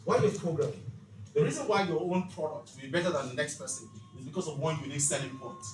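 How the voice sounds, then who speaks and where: A man's voice: fairly high pitch, fast, over music. A man giving a motivational, faith-based teaching talk in an emphatic, preacherly manner, with rhetorical questions. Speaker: speaker leading a financial literacy leadership session. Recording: echoey room, distant mic, clear background noise.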